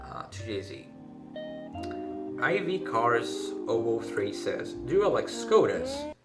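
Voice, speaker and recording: A man's voice: medium pitch, huge pitch swings, takes it slowly. A man with a Greek accent reading out questions and answering them briefly and matter-of-factly in a casual vlog. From Greece. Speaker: car channel host. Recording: music playing behind the voice. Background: background music.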